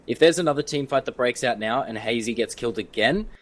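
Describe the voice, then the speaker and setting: A man's voice: mid-range voice, fast talker. A man giving live esports commentary in a jokey, slangy, playful way. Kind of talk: live game commentary with casual banter. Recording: clearly noisy background.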